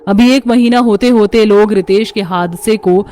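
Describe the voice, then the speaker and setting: A woman's voice: mid-range voice, normal speed, mellow. A woman reading a scripted horror story as its narrator.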